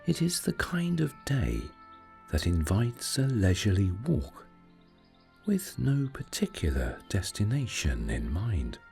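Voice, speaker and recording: A deep-voiced man reads a sleep meditation story very slowly and soothingly. His voice is gravelly, with gentle, breathy phrase endings and wide, swooping intonation. Soft music plays faintly underneath. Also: wildly swooping pitch. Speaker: meditation narrator.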